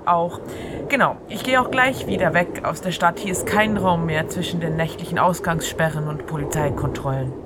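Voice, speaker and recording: A woman presents an informal radio monologue in a fairly low voice. She speaks conversationally and straight to the listener, with clearly audible ambient noise coming in through an open window. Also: moderate pace. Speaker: radio show host. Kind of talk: informal monologue on free radio, with read-out passages.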